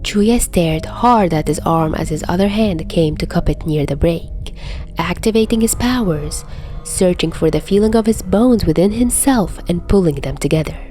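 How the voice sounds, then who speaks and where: A woman's voice: lowish voice, dark tone. A woman reading scripted fiction narration in an audiobook-like storytelling style. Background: background music.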